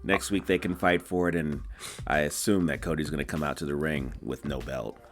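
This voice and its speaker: A man's voice: extremely low pitch, steady tone, a little fast, faint music behind. A man co-hosting a casual wrestling chat, talking in laid-back, drawling banter. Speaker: co-host.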